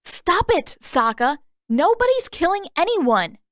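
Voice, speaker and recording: A woman's voice: very high-pitched, very animated, measured pace, fairly bright tone, no echo, voice pushed up, exaggerated voice. A woman voicing an indignant, stubborn teenage girl with snappish, defensive retorts in an audiobook-style story. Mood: angry, snapping.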